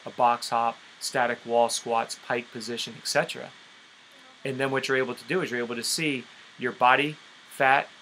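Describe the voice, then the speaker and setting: A man's voice: mid-range voice, sharp tone. A man coaching a group over a conference call, explaining step by step and often checking in with an okay. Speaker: fitness and nutrition coach. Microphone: conference call line. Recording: noisy conference call recording.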